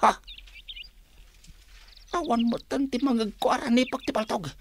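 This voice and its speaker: A woman's voice: fairly high pitch, normal speed, a little hiss or hum, close to an everyday voice. A woman playing an anxious fighter in an Ilocano radio drama, searching among the fallen. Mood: apologetic, distressed.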